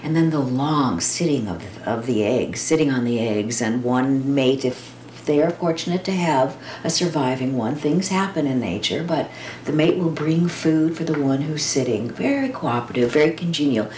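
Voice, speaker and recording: A woman reading poems and quotations aloud with informal commentary, speaking in a reflective, deliberate way with pauses and drawn-out words. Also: a bass voice, expressive, darkish tone. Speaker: poetry reader. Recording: roomy echo with clear background noise.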